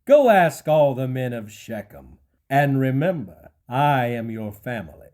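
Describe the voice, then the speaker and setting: A man's voice: mid-range voice, expressive, unhurried, darkish tone, close to an everyday voice. A man playing a scheming, ruthless claimant to a throne in an audio Bible drama, with feigned courtesy. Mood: persuasive.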